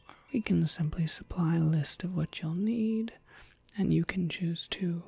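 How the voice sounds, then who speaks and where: A gentle witch shopkeeper, acted by a woman, whispers in an ASMR roleplay. The voice is very breathy and fairly low, with slow, unhurried phrasing. The manner is polite, attentive and softly reassuring. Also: full character voice.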